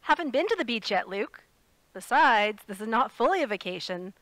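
Actress as spirited female correspondent, higher voice. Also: big pitch swings, normal speed, a bit bright, no echo. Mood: playful. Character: spirited woman radio correspondent, playful and earnest. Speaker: radio correspondent. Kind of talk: audio drama, phone-in report.

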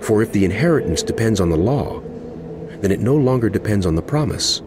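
An actor playing an impassioned male apostle in a dramatized scripture reading, speaking emphatically and deliberately to a wayward flock. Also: on the low side, expressive, background noise, natural voice. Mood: earnest, instructive. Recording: music under the voice. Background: background music.

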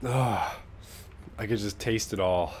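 A man's voice: fairly low pitch, slow pace, a little mellow, slight background noise. A young man with a stuffy nose giving casual, profane let's-play commentary, rambling and taunting enemies on screen. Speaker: gameplay commentator.

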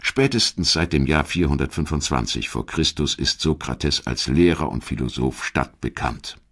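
Very deep-voiced male documentary narrator. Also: lively intonation, a bit bright. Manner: measured, articulate storytelling.

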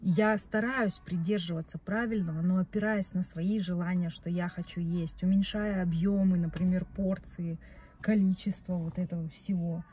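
A woman's voice: fairly low pitch, steady tone, normal speed, warm tone, breathy edge. A young woman talking casually to camera from a parked car, rambling and often correcting herself as she goes. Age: young adult. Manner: chatty, rambling, self-correcting. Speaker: vlogger. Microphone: phone camera on dashboard.

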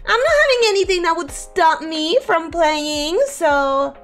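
A young woman streaming casually, her voice hoarse and ragged from sickness, giggling often as she reads chat and greets viewers. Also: very high voice, measured pace, a bit bright, faint music behind.

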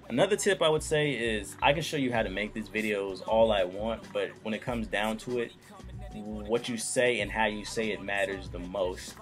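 Young man joking through a tutorial. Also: on the low side. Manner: joking, loose, talks straight to viewers. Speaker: YouTube tutorial host. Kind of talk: casual screen-recorded tutorial.

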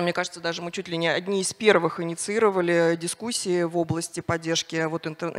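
Hesitant woman speaking in a reverberant hall. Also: on the low side. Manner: hesitant, many fillers and restarts. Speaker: conference panelist. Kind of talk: conference panel talk. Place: large conference hall on stage. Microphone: handheld mic.

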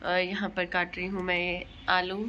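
A woman in her thirties vlogging casually to her phone camera at home, chatty and addressing viewers as friends, with a hoarse throat from a cold. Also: on the low side, completely flat delivery, moderate pace, sharp tone, slight background noise. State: hoarse throat, feeling unwell. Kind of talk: casual vlog narration to camera. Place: home kitchen and living room, indoors. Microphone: phone at arm's length.

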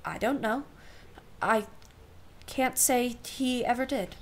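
A proud, genteel aunt from a period radio drama. Her voice sits a little high, and she speaks formally and precisely, with an arch, wry edge. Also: slow, slight background noise. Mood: dry, arch. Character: proud, wry, refined aunt, secretly jealous. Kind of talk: period radio play acting.

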